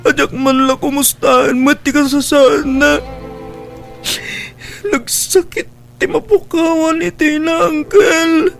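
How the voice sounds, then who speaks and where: A man acts out a remorseful young Filipino son in a radio melodrama. The voice is very high and plaintive, heavy with earnest feeling. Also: steady tone, takes it slowly, creaky, fairly bright tone, faint music behind, heavily acted. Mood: grieving, remorseful. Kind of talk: Ilocano radio drama acting.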